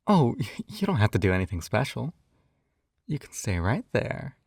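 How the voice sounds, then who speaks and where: A man playing a possessive, coaxing hypnotist captor in an intimate ASMR roleplay, with a soft velvety voice and teasing manner. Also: medium pitch, sing-song, dry recording, studio quality, exaggerated voice. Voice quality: soft, velvety, breathy whispers at times. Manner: slow coaxing, teasing tag questions.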